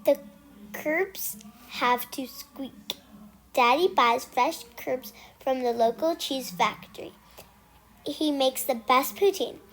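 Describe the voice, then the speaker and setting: A child reading a children's picture book aloud, haltingly, repeating and correcting words along the way. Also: high voice, a bit bright. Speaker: amateur read-aloud reader.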